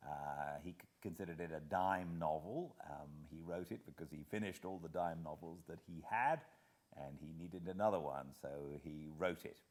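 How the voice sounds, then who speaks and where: A man's voice: deep voice, moderate pace. A British man, an actor and narrator, chatting casually to introduce a reading in a hesitant, rambling, reflective way. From Britain.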